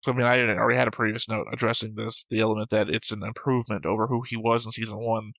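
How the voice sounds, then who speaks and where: A man's voice: fairly low pitch, little pitch movement, rapid-fire, vocal fry, a bit bright, no echo. A man co-hosting an anime show in casual, opinionated discussion, argumentative and emphatic, swearing freely. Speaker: co-host of an anime reaction show.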